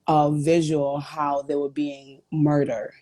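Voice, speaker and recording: Low-pitched woman from Guatemala, raised in the Bronx, guest owner, earnest storyteller. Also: little pitch movement, slow, mellow. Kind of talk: telling her story in a live chat.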